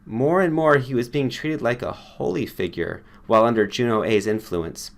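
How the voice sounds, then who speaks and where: A man's voice: medium pitch, moderate pace, creaky. Man narrating a story audiobook. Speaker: audiobook reader. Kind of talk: scripted story narration with character voices.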